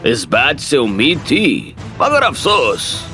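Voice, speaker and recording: A man playing a stern, authoritative army general who speaks gravely and gives orders in an audio drama. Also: medium pitch, huge pitch swings, slow, music in the background, heavily acted. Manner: commanding, grave, clipped orders. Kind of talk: Hindi audio story dramatization.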